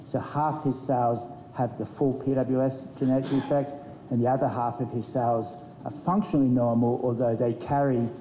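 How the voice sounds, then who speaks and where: A man's voice: mid-range voice, dark tone. Man explaining research in a reverberant hall. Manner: measured, explanatory, with frequent fillers. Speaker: researcher on a conference panel. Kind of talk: conference panel answer. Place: conference hall. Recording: roomy hall with faint noise.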